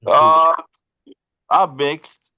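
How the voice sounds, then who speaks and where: A man's voice: fairly high pitch, steady tone, extremely slow, bright, forceful tone, clean tone, no room sound, highs cut off. A man who put the podcast together, chiming in with brief, dry remarks in casual chat. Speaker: podcast producer.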